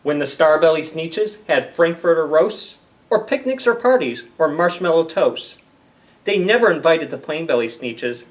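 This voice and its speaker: A man's voice: on the high side, expressive, normal speed, distant mic. A man hosting a story time for young children, reading aloud and explaining things simply with questions to the listeners.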